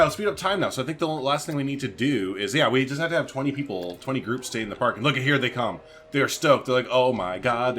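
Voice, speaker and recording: A man's voice: mid-range voice, fairly bright tone, slight background noise. A man giving casual let's-play commentary as a gaming video host, thinking aloud in informal, slangy language.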